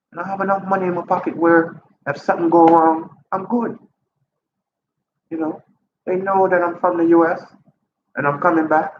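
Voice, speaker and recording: A man in his fifties talks to camera in his apartment, upbeat and chatty, his voice jumping high to make a point. His nose is a little stuffy from a cold. The sound is roomy and a bit dull, with a clear background hiss. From Jamaica. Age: 50s. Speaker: vlogger. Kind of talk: casual vlog talk to camera. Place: apartment indoors. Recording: roomy lo-fi audio with ambient noise.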